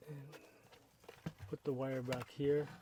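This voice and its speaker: A man's voice: medium pitch, slow pace, background noise. A man casually narrating a product review, explaining each step as he works with his hands. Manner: relaxed, step-by-step explaining while working with hands.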